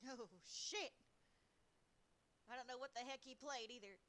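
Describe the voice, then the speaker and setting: A woman's voice: high-pitched, steady tone, unhurried, sharp tone. A woman streaming a game with casual, playful, sweary reactions and plenty of exclamations. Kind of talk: casual live playthrough reactions.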